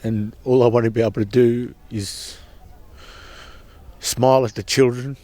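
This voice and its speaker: A man's voice: mid-range voice, fairly even intonation, unhurried, dark tone. A man giving an earnest, impassioned personal account as a protester, often repeating and restarting his words. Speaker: protester at a sacred site camp.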